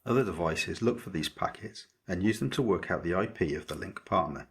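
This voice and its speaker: A man narrating a scripted electronics project tutorial as its maker, explaining methodically in a measured way. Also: sits low, gravelly, breathy edge.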